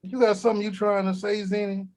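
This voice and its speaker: A man's voice: high-pitched, little pitch movement, fast talker. An actor at a table read playing a crude, grumpy old grandfather who blusters and swears. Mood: indignant. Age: older. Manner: profane, blustery, shouting when riled.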